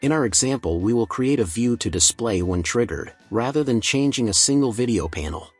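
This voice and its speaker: A man reading a scripted software tutorial in a measured, procedural instructional manner. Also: mid-range voice, lively intonation, husky, faint music behind.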